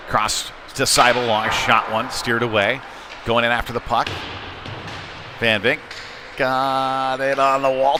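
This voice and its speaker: A man giving live play-by-play hockey commentary in clipped phrases with conversational asides. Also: medium pitch. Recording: noisy rink ambience behind live commentary. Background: sports venue noise.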